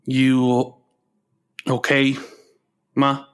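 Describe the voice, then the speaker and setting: A man's voice: mid-range voice, completely flat delivery, drawn-out words, a bit bright, clear, resonant tone. Dry-humored country balladeer son played by a man. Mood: dry, teasing. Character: laconic, teasing adult son, earnest country balladeer. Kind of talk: comic radio play acting.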